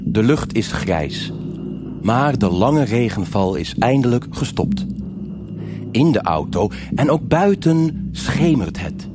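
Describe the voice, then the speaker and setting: A man narrating a scripted live noir radio drama in a measured, atmospheric way with short phrases. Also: medium pitch, sing-song. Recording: live stage with loud music.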